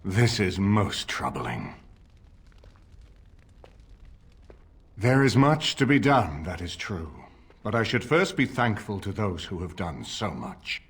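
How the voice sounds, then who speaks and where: An actor playing a grave, authoritative middle-aged lord in a video game, speaking in a formal, measured way with a husky voice. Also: male voice, on the low side, sing-song, exaggerated voice. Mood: grave, grateful. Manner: formal, measured, stately delivery. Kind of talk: dramatic video game voice acting.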